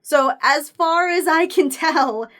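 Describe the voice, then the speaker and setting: A woman's voice: sits high, slow pace, over a noisy crowd, very noisy. A woman hosting a scripted video essay, with an informal, opinionated and playfully emphatic manner.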